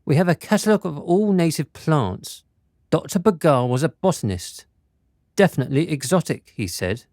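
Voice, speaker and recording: A precise, courteous doctor from a period radio play, acted by a man. His voice sits fairly high and swings widely in melody, while the phrasing stays formal and deliberate. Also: animated, darkish tone, no echo, studio quality. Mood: grave, explanatory. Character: courteous, precise physician reporting to a lord. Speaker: actor in a radio drama. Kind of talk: theatrical period radio drama acting.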